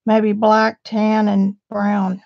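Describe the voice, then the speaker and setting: Sniffly woman with a gravelly voice. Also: mid-range voice, completely flat delivery, drawn-out words, dry recording, muffled recording. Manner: chatty, describing supplies to viewers. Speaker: art teacher vlogger. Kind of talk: casual live stream chat.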